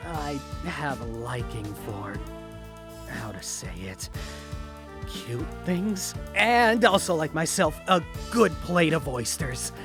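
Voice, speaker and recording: A man voicing a suave, laid-back French seventeen-year-old talent scout in an audio drama interview, calm and confident. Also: fairly high pitch, slow, music in the background, pitched above the performer's own voice. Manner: calm, confident, silver-tongued.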